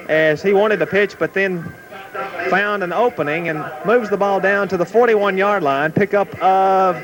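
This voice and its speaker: A young man doing play-by-play commentary of a high school football game, steady and informative, climbing to excited shouts on big plays, recorded outdoors at a stadium. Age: young adult. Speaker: play-by-play announcer. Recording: noisy amateur 1970s recording.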